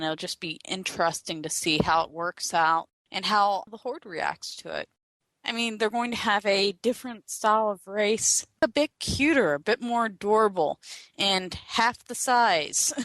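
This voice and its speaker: A woman's voice: mid-range voice, normal speed, fairly bright tone, no room sound. A woman chatting informally and playfully as a podcast panelist. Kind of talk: casual chat.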